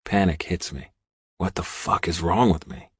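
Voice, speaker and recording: Low male voice reading a dramatic audiobook in first person, very dry sound. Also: normal speed, a bit bright, husky, no room sound. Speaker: audiobook narrator.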